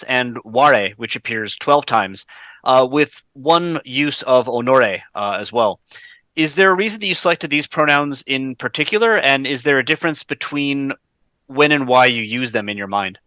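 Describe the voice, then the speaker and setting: A man's voice: mid-range voice, bright, forceful tone, no room sound. A male podcast co-host asking a guest detailed, scholarly interview questions. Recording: dull dry lo-fi mic.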